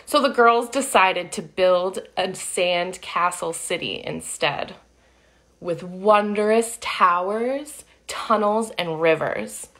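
A woman in her thirties reading a picture book aloud to young children, with sing-song delivery and friendly asides. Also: lowish voice. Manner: animated, slow and deliberate, sing-song child-directed delivery. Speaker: children's storytime reader. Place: living room at home, indoors. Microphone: phone or webcam at arm's length.

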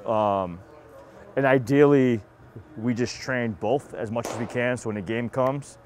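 A man's voice: medium pitch, unhurried, background noise. A man with a Massachusetts accent coaching baseball catching drills in casual, encouraging, slangy bursts. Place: outdoor batting cage and bullpen.

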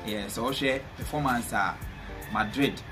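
Ghanaian guy in his 20s doing football chat, stop-start and repetitive, low voice, loud-ish music behind. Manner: halting, repetitive. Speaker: football pundit. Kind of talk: casual sports punditry, mixing English with a local language. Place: small bare indoor room, seated at a table.

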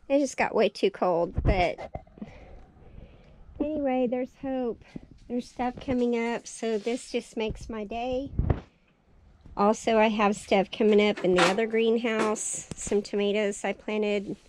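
A woman walking through her greenhouse, chatting cheerfully about her seedlings, her voice raspy and tired from lack of sleep. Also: a little high, a little mellow, a little hiss or hum. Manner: chatty, cheerful, thinking aloud. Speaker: farm vlogger. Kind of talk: casual walkthrough narration. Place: small greenhouse, indoors.

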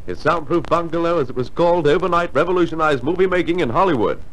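A man's voice: on the high side, fast, rough recording. A man narrating and announcing a scripted old-time radio drama.

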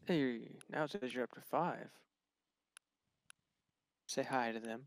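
A man's voice: mid-range voice, slow, creaky. A young man playing an online game with friends, making dry sarcastic jokes. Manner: sarcastic, mock-fatherly teasing. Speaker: gamer. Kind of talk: casual gaming chat with friends.

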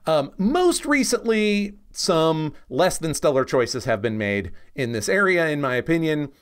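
A man's voice: on the high side, sing-song, a little slow, clean tone. A man co-hosting a podcast, explaining casually and with opinions, often checking in with the listener. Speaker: podcast co-host and comics expert.

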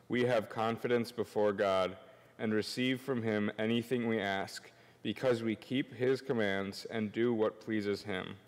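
Young male scripture reader, lowish voice, formal and solemn, reverberant church sanctuary. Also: a little mellow. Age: young adult. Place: indoors at a church lectern. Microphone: gooseneck lectern microphone.

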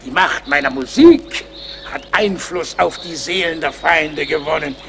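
An eccentric, pompous singing master from an adventure radio play. His voice is very high for a man and crackles with fry. He speaks in florid, unctuous sermon phrases, over faint outdoor noise. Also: measured pace. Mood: self-important. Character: pious, pompous psalm-singing teacher, eccentric and harmless. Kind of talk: German radio play acting.

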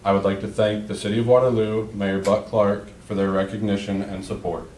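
A man's voice: on the low side, flat delivery, brisk, darkish tone. A man representing a professional society, thanking the city in a formal way. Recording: distant in a roomy chamber, faint noise.

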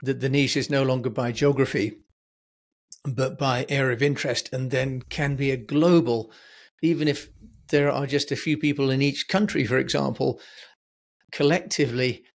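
A man's voice: mid-range voice, fairly even intonation, normal speed, gravelly. A man in his sixties hosting an interview over a video call from his home office, with a friendly manner that stretches key words for emphasis. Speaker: podcast host. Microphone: headset and boom mic.

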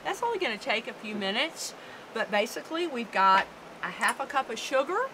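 Chatty woman cooking outdoors, higher voice. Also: sing-song, a little fast. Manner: chatty, instructive, upbeat. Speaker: guest cook. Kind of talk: cooking demonstration. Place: outdoor wooded deck. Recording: outdoor background noise.

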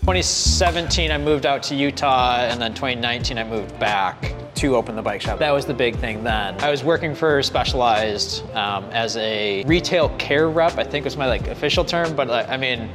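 A man's voice: medium pitch, music in the background. Chatty bike shop man, long reverb. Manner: chatty, quick asides and self-corrections. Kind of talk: casual sit-down conversation.

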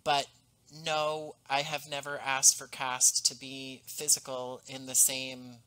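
A man's voice: mid-range voice, monotone, bright, forceful tone, smooth, low-quality recording. Earnest man on a headset mic. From US East Coast. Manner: thoughtful, earnest, measured. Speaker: podcast creator and guest. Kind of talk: reflective conversational interview. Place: home room, on a video call. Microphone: headset boom mic.

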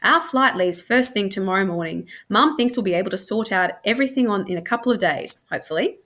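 Female reader playing a mild storybook dad, soothing, apologetic, expressive pitch. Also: fast. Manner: reassuring, apologetic. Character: mild, placating father, a music teacher.

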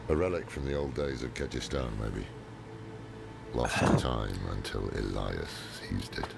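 A man playing a grim, weary old warrior-scholar in a video game, terse and ominous, with a growling voice over loud music. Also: lively intonation, a little slow, heavily acted. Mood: grave. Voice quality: deep gravelly growl. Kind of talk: video game character acting.

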